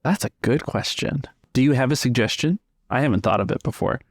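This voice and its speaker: A man's voice: medium pitch, a little fast, dry recording, studio quality. A man improvising narration as the game master of a tabletop roleplaying game.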